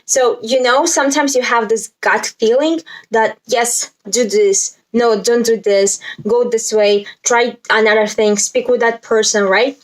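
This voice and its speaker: Slow, higher-pitched Latvian-accented young woman. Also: steady tone, low-quality recording. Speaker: spiritual self-help vlogger. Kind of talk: casual talk to camera, explaining a list.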